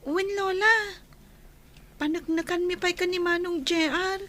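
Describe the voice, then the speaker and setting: A woman's voice: very high voice, fairly even intonation, measured pace, a bit bright. A woman playing an anxious young granddaughter in a radio drama, with a plaintive, questioning way of talking. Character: anxious young granddaughter who misses her mother.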